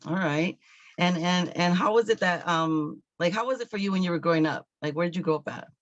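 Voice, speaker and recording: A man hosting a casual interview, hesitant and searching for words, with agreeable interjections and a creaky voice. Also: sits high, brisk, a bit bright, dry recording, highs cut off. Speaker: talk show host interviewer.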